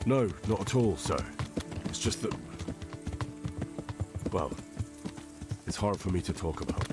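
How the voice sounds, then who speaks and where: An actor playing a humble, earnest young blacksmith's son with a deferential manner in a video game cutscene. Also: male voice, low voice, expressive, measured pace, over loud music. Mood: reluctant, deferential.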